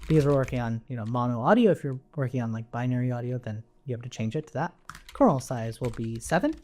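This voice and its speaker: A man's voice: mid-range voice, fast, darkish tone. A man giving an informal live coding lesson, explaining step by step and often checking in with short tag questions. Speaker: programming instructor. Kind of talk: live coding tutorial, explaining as they type.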